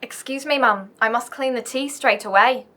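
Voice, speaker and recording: A woman's voice: a little high, normal speed, noisy background, lightly acted. A woman playing an anxious, deferential housemaid who speaks confidingly in a radio play.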